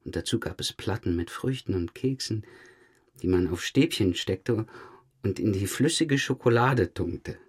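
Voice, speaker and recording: Dogged police detective, low husky voice, dry, pointed, matter-of-fact, crime radio play interrogation. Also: male voice, lively intonation, unhurried. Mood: reflective. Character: dogged male police detective questioning a murder suspect. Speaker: police investigator. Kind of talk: German crime audio drama, interrogation dialogue.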